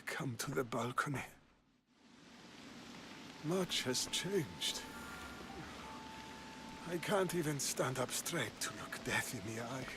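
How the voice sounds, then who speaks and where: A man playing an ailing, dignified older statesman of ancient Athens in a video game, weary and halting. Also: medium pitch, expressive, music in the background. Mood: weary, resigned. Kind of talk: dramatic video game cutscene acting.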